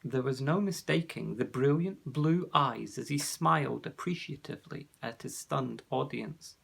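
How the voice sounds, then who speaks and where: A man reading a children's fantasy storybook aloud, with clear, expressive storytelling. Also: mid-range voice, unhurried. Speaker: narrator.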